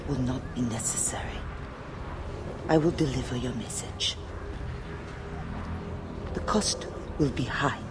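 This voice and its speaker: An actress playing a shrewd, poised madame and go-between in a video game, measured and insinuating. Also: female voice, very deep voice, noisy background. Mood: cool, curt. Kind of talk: dramatic video game acting.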